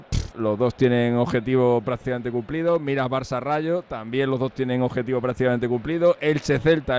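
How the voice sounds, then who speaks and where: Casting: middle-aged man, Spain accent, smooth resonant voice, chatty live football commentary. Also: mid-range voice. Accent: Spanish (Spain). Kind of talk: casual live sports talk, match preview.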